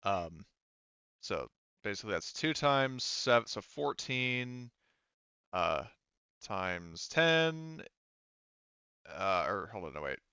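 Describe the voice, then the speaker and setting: A man acting as game master in casual tabletop play, talking in a halting way as he thinks aloud. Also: fairly low pitch, big pitch swings, sharp tone, smooth, no room sound, lo-fi sound.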